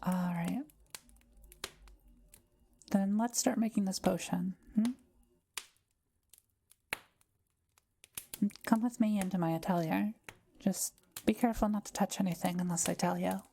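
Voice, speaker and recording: Kind forest witch for audio roleplay, woman performer: soft-spoken, patient explainer, very mellow with a gravelly creak. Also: medium pitch, steady tone, normal speed, pauses often, a little hiss or hum, close to an everyday voice. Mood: welcoming. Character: kind, quiet-living forest witch brewing potions.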